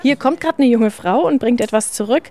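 A woman's voice: fairly high pitch, brisk, a little mellow, slight background noise. A female reporter describing a shop on site and asking questions. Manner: descriptive, walking through a shop. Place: inside a shop.

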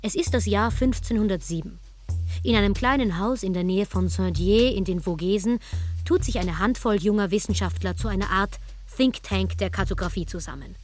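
An actress playing an archaeologist who narrates history in a wry, conversational way in a radio play, with music underneath. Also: female voice, medium pitch, moderate pace, natural voice.

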